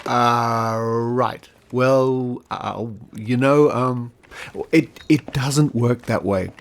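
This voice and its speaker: A man playing a shifty astrologer in a comedy podcast sketch, with halting, deliberate salesman patter. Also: medium pitch. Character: shifty male astrologer upselling dubious insurance.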